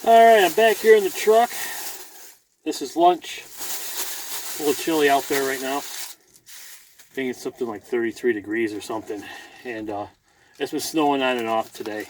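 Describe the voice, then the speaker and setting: A man's voice: mid-range voice, normal speed, long pauses, slight background noise, low-quality recording. A man with a folksy, rambling manner, chatting casually as a vlogger inside a truck cab. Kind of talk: casual vlog chat.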